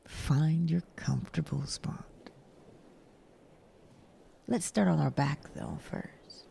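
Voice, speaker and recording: Low, very slow, breathy woman's voice. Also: dark tone, slight background noise. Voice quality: breathy, often near a whisper. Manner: soothing, unhurried, long pauses between short instructions. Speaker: guided meditation host. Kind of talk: guided sleep meditation.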